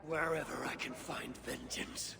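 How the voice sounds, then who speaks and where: An actor playing an imperious, vengeful Norse god warrior in a video game, speaking in terse, formal, old-fashioned demands. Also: male voice, mid-range voice, steady tone, unhurried, bright, forceful tone, heavily acted. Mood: grim, intent. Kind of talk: dramatic video game acting. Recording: clearly noisy game cutscene audio.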